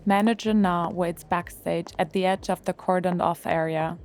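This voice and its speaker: Woman calmly narrating an audio drama. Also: lowish voice, normal speed, faint music behind.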